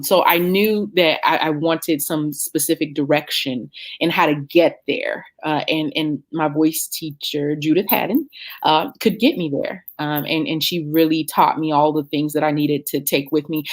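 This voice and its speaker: Woman in her 30s, low voice, chatting thoughtfully about her singing career, lots of ums. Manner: reflective, many "um" fillers and restarts. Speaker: opera singer, interview guest. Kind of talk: conversational interview answers.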